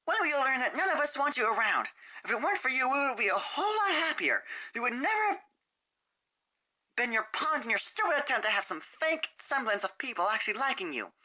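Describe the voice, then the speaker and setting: A woman voicing a brash, aggressive, protective teenage tomboy in a fan story reading, forceful and confrontational. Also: sits high, big pitch swings, a little fast, pitched above the performer's own voice, full character voice, rough recording. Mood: furious yelling.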